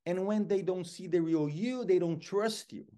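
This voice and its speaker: A middle-aged Brazilian man with Portuguese as his first language and a fairly high voice, telling lively stories as an interview guest. He is animated and expressive, speaking over a video call from a home office. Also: moderate pace. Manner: expressive, gestures a lot, lively storyteller.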